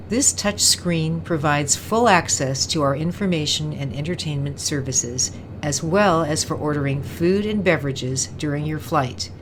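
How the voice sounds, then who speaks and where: A woman's voice: sits low, slight background noise. A woman playing a courteous automated airline announcer in a radio drama, polished and formal.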